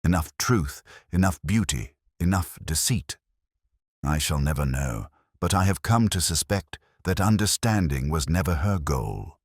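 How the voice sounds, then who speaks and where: A man playing a melancholy older English police inspector, delivering a measured, solemn, literary monologue in a radio drama. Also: deep voice, lively intonation, husky, no room sound, studio quality, heavily acted. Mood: brooding, wistful. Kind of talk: scripted first-person radio drama monologue.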